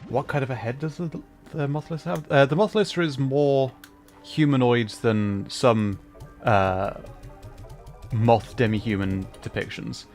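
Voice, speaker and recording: A man's voice: medium pitch, steady tone, unhurried, darkish tone, faint music behind. A man casually chatting on a gaming livestream, thinking aloud in a hesitant way. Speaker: streamer. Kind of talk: casual livestream gameplay chat.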